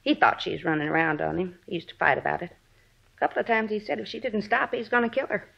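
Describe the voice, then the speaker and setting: An American actress playing a gossipy, plain-spoken middle-aged married neighbor in a 1950s radio drama. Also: female voice, mid-range voice, fairly even intonation, fast talker, vocal fry, husky. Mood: gossipy, suspicious. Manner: folksy, colloquial, gossipy. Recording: dull vintage radio recording.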